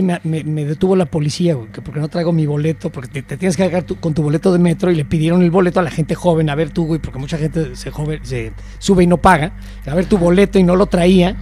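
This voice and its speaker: A middle-aged Mexican man with a gravelly, high-pitched voice tells animated, slangy stories at a fast clip. Faint music sits underneath him. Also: a little hiss or hum. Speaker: co-host. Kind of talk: casual chat, storytelling.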